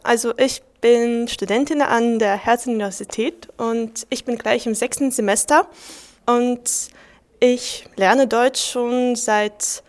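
A woman's voice: on the high side, fairly even intonation, slow. A young woman, a student introducing herself casually, rambling with many fillers. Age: young adult. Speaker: language student.

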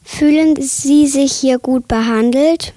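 A woman asks short, formal, polite interview questions. Her voice sits high and sounds dry and close. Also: slow, warm tone, dry recording.

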